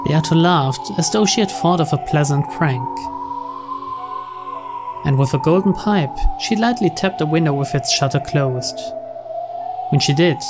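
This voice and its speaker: Man narrating, slightly high-pitched voice. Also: a little slow, long pauses. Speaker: narrator, game playthrough host. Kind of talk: reading visual novel narration aloud. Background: clear game music.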